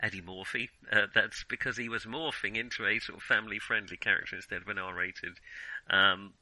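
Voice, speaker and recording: A man's voice: fairly low pitch, steady tone, fairly quick, pushed and bright, no echo, poor audio. A man co-hosting a casual film chat, often hesitating as he searches for words. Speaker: podcast co-host.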